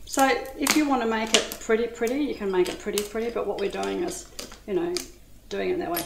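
A woman's voice: medium pitch, a little fast, vocal fry. Chatty Australian woman doing a cooking demo. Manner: chatty, enthusiastic, addresses viewers as ladies. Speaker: cooking demonstrator. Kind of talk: cooking demonstration. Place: home kitchen studio. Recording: echoey kitchen with light noise.

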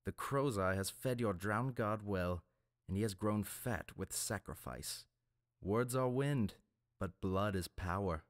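A man's voice: lowish voice, slow, no echo, studio quality. A man voicing a cold, scornful male pirate captain who lectures a prisoner in an audiobook.

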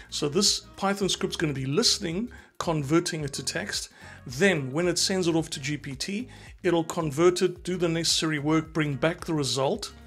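A man's voice: fairly high pitch, fairly bright tone. A man in his forties with a South African accent, explaining a tech tutorial into a close desk microphone. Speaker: instructor, presenter. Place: small indoor home studio.

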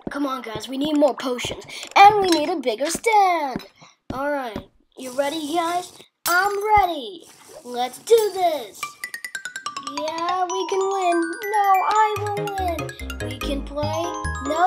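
A child with a very high voice improvises lines for a cartoon. The delivery is slow and unpolished, with music clearly playing behind it. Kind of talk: amateur improvised cartoon voice-over.

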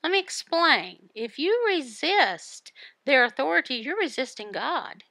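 A woman voicing a Bible devotional, explaining it phrase by phrase in a deliberate manner with pauses. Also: sits high, sing-song, sharp tone, no room sound. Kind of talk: Bible teaching, voiced devotional.

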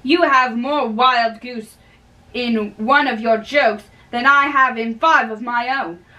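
A young woman giving an amateur dramatic reading of a play, halting and stumbling over words, recorded by a distant camera in a bedroom. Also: fairly high pitch, bright, forceful tone. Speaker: amateur reader. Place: small bedroom, indoors. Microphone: far camera. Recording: distant microphone in a room.

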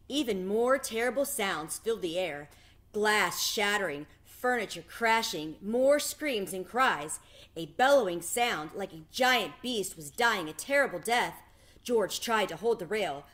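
Expressive woman reading a story. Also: lowish voice. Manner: expressive, dramatic storytelling delivery. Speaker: read-aloud storyteller. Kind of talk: reading a children's novel aloud. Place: small home room with bookshelves.

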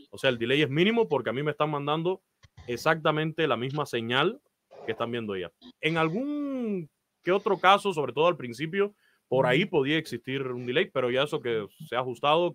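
A man's voice: fairly high pitch, a bit bright. Cuban guy, 30s, sportscaster telling his story over a video call, earnest, lots of eh's. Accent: Cuban Spanish. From Cuba. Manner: earnest, advice-giving, many "eh" fillers. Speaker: baseball play-by-play announcer. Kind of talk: interview guest telling his career story. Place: small room, indoors.

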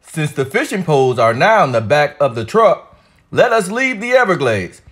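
A man's voice: on the high side, sing-song, moderate pace, fairly bright tone. A man playing a cheerful, kindly old grandpa in a children's storybook, hearty and encouraging. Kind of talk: children's storybook read-aloud.

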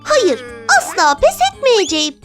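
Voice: very high voice, wildly swooping pitch, normal speed, heavily acted. An actor voicing a fussy, cheeky small animal in a dubbed children's cartoon, dramatic and exclamatory. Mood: whiny, dismayed. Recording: loud music behind.

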